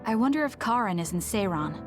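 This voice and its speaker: An actress playing a blunt, socially awkward young woman soldier in a video game, speaking in a measured, earnest, matter-of-fact way. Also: medium pitch, expressive, over music, lightly acted. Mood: calm, resolute. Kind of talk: English video game voice acting.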